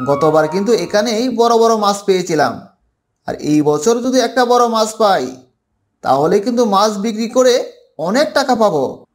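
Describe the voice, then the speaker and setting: A high-pitched village fisherman from a cartoon fable, acted by a man. He is practical, eager and chivvying, repeating himself as he urges. Faint music plays behind him. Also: lively intonation, moderate pace. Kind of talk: Bengali cartoon fable character dialogue.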